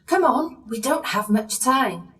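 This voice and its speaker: A woman plays a panto fairy godmother, theatrical and bossy yet cheery. She takes her words at a slow, deliberate pace and sits a little back from the mic. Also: fairly high pitch, animated, bright, forceful tone, recorded from a distance, full character voice. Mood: brisk, bossy. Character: brisk, cheery fairy godmother who often talks in rhyme. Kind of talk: audio pantomime acting.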